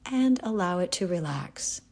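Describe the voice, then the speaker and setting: A woman guiding a yoga nidra relaxation, giving calm, gentle, unhurried instructions with pauses. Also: lowish voice, vocal fry. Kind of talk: guided relaxation meditation.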